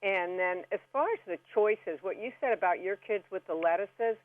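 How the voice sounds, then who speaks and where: A chatty woman guest walks listeners through simple instructions in a folksy, casual way. Her voice comes through a narrow, phone-like connection with very little room sound, at a middling pitch. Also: moderate pace, no room sound. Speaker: radio guest giving gardening tips. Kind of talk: casual step-by-step how-to chat. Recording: band-limited phone-like radio call.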